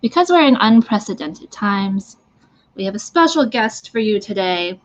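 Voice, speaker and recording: A woman's voice: mid-range voice, expressive, normal speed. A woman giving a casual live makeup tutorial, talking through each step. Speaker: mime makeup demonstrator. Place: live online stream from a socially distanced setting. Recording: dull, lo-fi livestream audio.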